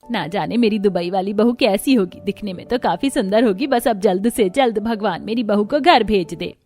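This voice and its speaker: A woman plays a middle-aged mother-in-law in an animated family tale. She is homely, doting and eager, fussing in a medium-pitched voice. Light music runs underneath. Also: normal speed, lightly acted. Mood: hopeful. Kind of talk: Hindi cartoon story voice acting.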